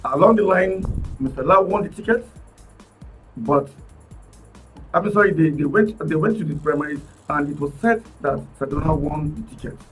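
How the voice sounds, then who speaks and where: Man, Nigerian accent, animated radio panel talk, a bit distant from the mic, faint music behind. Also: fairly high pitch, normal speed. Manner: animated, emphatic, restarts words. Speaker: political commentator guest. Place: radio studio.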